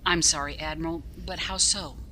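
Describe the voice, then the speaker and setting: Casting: a woman as a no-nonsense female starship captain, sharp and authoritative, slightly low register, audio drama with background hum. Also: normal speed, rough recording. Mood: formal, measured. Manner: clipped, formal, authoritative. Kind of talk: scripted science fiction audio drama acting. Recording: steady background ambient noise.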